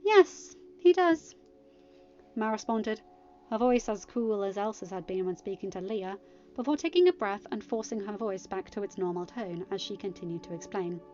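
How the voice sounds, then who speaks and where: A woman's voice: medium pitch, a little fast, warm tone. A woman reading fan fiction aloud as scripted audiobook narration. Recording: slightly dull, band-limited home recording.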